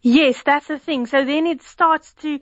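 A woman's voice: sits high, steady tone, measured pace, no echo. A South African woman, a food fraud researcher, speaking as a guest in a radio phone interview, explaining at length with restarts and often giggling. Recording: dull phone line.

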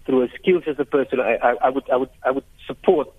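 A man's voice: medium pitch, quick pace. A South African man, a native Afrikaans speaker, being interviewed as a guest on radio, searching for phrases and repeating words for emphasis. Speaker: interview guest, heritage site chief executive. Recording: muffled narrow-band phone line on radio.

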